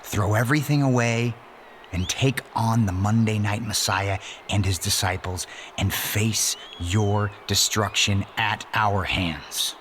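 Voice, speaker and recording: An actor playing a menacing, self-righteous messianic wrestling villain in a video game, delivering a deliberate, pompous monologue that persuades and threatens. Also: male voice, on the low side, a bit bright, a little hiss or hum. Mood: cold menace. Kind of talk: dramatic scripted monologue.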